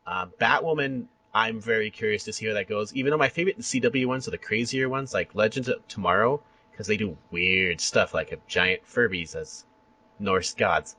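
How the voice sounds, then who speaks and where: Male podcast host, forward bright tone with a husky edge; excitable, rambling casual fan talk. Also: on the low side, poor audio. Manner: enthusiastic, rambling, quick to laugh. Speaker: podcast host interviewing a guest. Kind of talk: casual fan chat interview.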